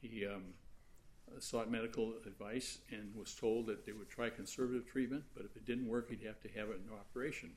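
A soft-spoken man arguing an appeal before judges in a large courtroom, halting and full of fillers as he speaks into a fixed recording mic. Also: on the low side, steady tone, a little hiss or hum, low-quality recording. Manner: halting, with many fillers and restarts. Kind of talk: appellate oral argument.

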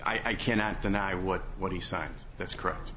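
A man speaking as a lawyer in oral argument on appeal, halting and hedging, often repeating his phrases. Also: low-pitched, fairly even intonation, bright, forceful tone. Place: courtroom. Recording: muffled courtroom recording, faint background noise.

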